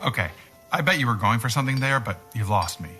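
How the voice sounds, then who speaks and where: A man's voice: mid-range voice, expressive, fast, over music, lightly acted. A man acting a dry, level-headed sidekick. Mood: dry, reasoning. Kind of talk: radio drama acting.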